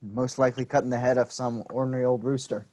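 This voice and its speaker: A man's voice: mid-range voice, level tone, fast, darkish tone, natural voice. A man playing a sullen, smart-mouthed farm boy. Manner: laconic, wry.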